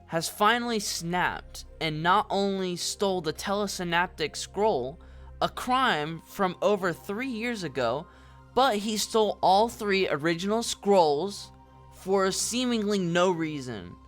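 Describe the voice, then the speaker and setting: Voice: mid-range voice, lively intonation, slow, fairly bright tone, soft background music. An actor playing a formal, accusatory prosecuting lawyer with an oratorical delivery in an audio drama. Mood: formal, accusing. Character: formal, theatrical prosecuting lawyer in a fantasy court. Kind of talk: scripted audio drama courtroom speech.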